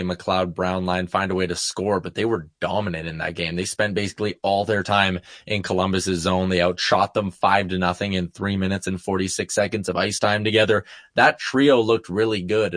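Sports show analyst, male, fairly low pitch, dry recording, keen and opinionated casual hockey talk. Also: fairly even intonation, brisk, hardly any pauses, fairly bright tone, no room sound.

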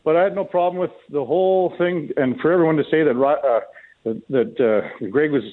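A man's voice: on the high side, fairly quick. Man talking hockey over a phone-like line. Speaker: hockey commentator, guest on a sports radio show. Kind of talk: casual sports talk. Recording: tinny narrow phone-like line.

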